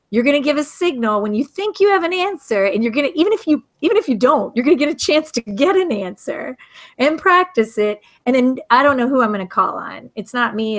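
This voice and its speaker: A woman educator hosting a video call discussion, speaking earnestly and emphatically, often restarting mid-sentence. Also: a little high. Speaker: educator, hangout host and author.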